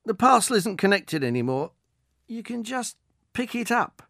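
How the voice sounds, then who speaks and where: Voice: mid-range voice, very animated, moderate pace, gravelly, dry recording, clean studio sound, voice pushed up. A reader doing a chirpy old delivery man. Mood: coaxing. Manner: professional good cheer, coaxing. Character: old male drone delivery worker, falsely cheerful.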